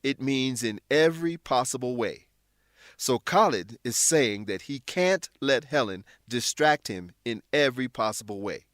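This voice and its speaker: A man narrating a scripted English lesson as a teacher, explaining words in a deliberate, instructional manner. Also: medium pitch, expressive, fairly bright tone, dry recording, studio quality.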